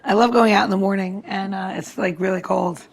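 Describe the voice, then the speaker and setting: Gravelly-edged woman chatting informally. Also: mid-range voice, steady tone, fairly quick. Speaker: podcast co-host. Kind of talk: casual chat.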